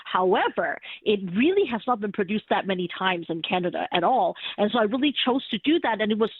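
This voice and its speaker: A woman's voice: medium pitch, big pitch swings, brisk, slightly rough, no echo. Woman from Hong Kong on a phone line. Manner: earnest, explanatory, frequent "you know, like". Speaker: opera artistic director and conductor, guest. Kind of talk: radio interview answers. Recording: dry, tinny phone line.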